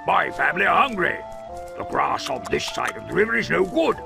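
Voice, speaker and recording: Blustering British father goat, cartoon game dub: boastful, old-school pomp, heavy gravel, music underneath. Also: fairly high pitch, very animated, a little fast, exaggerated voice. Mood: indignant. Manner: pompous, old-fashioned exclamations. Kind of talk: cartoon game fandub acting. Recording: loud music behind the voice.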